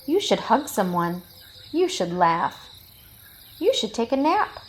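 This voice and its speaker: Woman as a coaxing jungle animal, animated pitch. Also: slow. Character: cheerful, well-meaning jungle animals offering advice.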